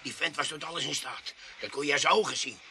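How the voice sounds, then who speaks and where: An actor playing a shifty, boastful tramp and self-styled war veteran in a radio play, stammering and pleading in a self-pitying way. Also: male voice, on the high side, a little fast, bright, forceful tone. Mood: wheedling. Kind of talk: radio drama acting. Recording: faintly noisy radio play recording.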